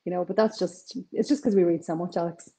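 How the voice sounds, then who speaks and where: Chatty Dublin woman, low mellow voice. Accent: North Side Dublin. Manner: chatty, animated, quick asides. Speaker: crime author guest. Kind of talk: video call interview. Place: home kitchen with shelves. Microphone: video call.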